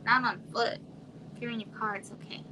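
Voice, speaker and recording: Soft-spoken chatty woman on a noisy livestream. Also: a little high, fairly even intonation, brisk. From Arizona, US. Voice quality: quiet voice. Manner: soft-spoken, chatty, trailing off, drops to whispers. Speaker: livestream host. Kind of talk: casual live chat with viewers. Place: home room. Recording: noisy home livestream audio.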